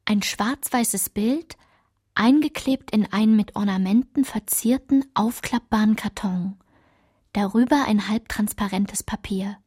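A reflective woman looking back on her girlhood in a radio play. Her voice sits at a middle pitch. She reads the literary text in a measured, descriptive manner. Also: a little mellow, breathy edge. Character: reflective woman recalling her girlhood through old photographs. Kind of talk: literary scripted narration.

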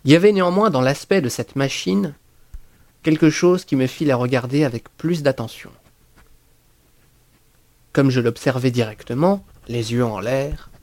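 A man reading a first-person horror story as an audiobook narrator, in a measured, deliberate and expressive literary style. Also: mid-range voice, no echo.

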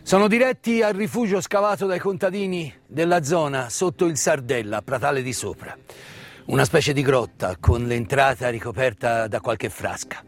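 A man performing a dramatic theatrical monologue, intense and emphatic, with repeated words and pauses. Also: mid-range voice. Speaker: stage actor-narrator. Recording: live stage performance with music. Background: live music.

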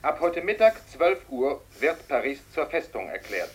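Wartime announcer: official, formal delivery, slightly high male voice, husky edge. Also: big pitch swings, normal speed. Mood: solemn, official. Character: formal male wartime radio announcer reading military bulletin. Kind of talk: wartime radio announcement in a radio play.

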